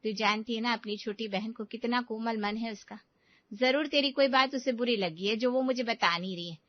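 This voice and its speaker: A woman plays a reassuring middle-aged mother in an audio drama. Her voice sits somewhat high, with a soothing manner. The recording is very dry and slightly dull. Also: normal speed, no room sound, close to an everyday voice. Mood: concerned. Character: caring, reassuring middle-aged Indian mother of two daughters. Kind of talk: Hindi audio drama acting.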